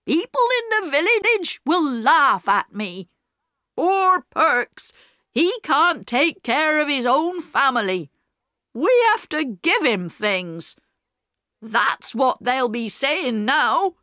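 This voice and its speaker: Storybook role: proud poor father, gruff and emphatic, played by a woman in a raised, slow voice. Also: high-pitched, sing-song, bright, forceful tone, dry recording, clean studio sound, voice pushed up, full character voice. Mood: indignant. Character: proud, gruff working-class father, poor but honest. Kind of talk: storybook character reading.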